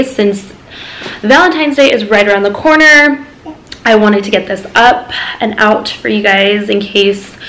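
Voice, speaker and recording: A woman's voice: medium pitch, a bit bright, low-quality recording. A young woman chatting casually to camera as a beauty vlogger, her voice a little off from being sick, with lots of ums and likes. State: sick, voice a bit off.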